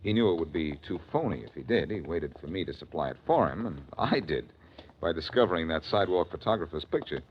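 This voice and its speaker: A man's voice: deep voice, lively intonation, fast. An actor playing a confident, wisecracking male detective in a 1940s radio drama. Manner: breezy, wisecracking. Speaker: detective hero. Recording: lo-fi 1940s radio recording with faint hiss.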